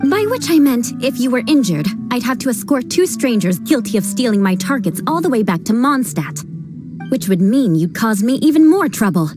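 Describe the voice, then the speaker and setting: Aloof aristocratic knight captain, fairly high voice, dry and haughty, with music behind. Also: female voice, big pitch swings, moderate pace. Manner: haughty and dry, vows vengeance over every slight. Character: proud young noblewoman knight captain sworn to vengeance. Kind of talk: video game voice acting. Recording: game dialogue with background music.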